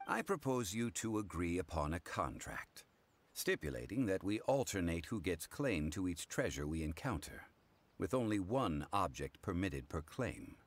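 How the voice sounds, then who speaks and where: An actor playing a calm, dignified male scholar and consultant in an anime game, speaking formally and in a measured way. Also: low-pitched, heavily acted. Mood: composed, formal. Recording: music behind the voice.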